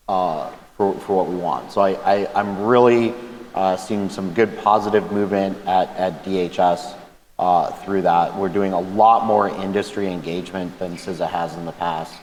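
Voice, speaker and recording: A man with a loud voice speaking on a conference panel, talking conversationally with frequent restarts and repeated words. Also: low voice, slight background noise. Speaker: government panelist. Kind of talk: panel discussion. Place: conference hall. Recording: echoey hall with faint ambience.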